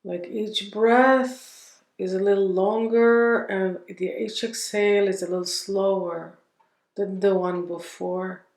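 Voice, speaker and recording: A woman leading a guided meditation in a gentle, unhurried manner, leaving long pauses between phrases. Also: mid-range voice, fairly even intonation, reverberant.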